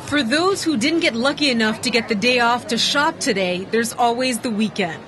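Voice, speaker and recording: A young woman reporter narrating a local TV news piece in a clear, even delivery. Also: a little high, moderate pace, noisy background.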